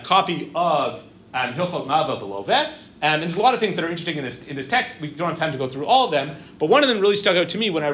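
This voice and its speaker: A middle-aged man lecturing to a live audience, conversational and halting, with lots of "um" and "right?" checks. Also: a little high, sharp tone, slight background noise. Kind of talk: university-style lecture with audience questions. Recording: dull lo-fi room recording with faint ambience.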